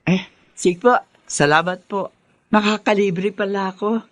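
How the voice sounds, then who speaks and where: A woman's voice: medium pitch, steady tone, measured pace, no room sound, lightly acted. An actress playing a firm, devoted poor market-vendor mother in a Filipino radio drama, speaking in an earnest, conversational way. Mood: relieved, friendly.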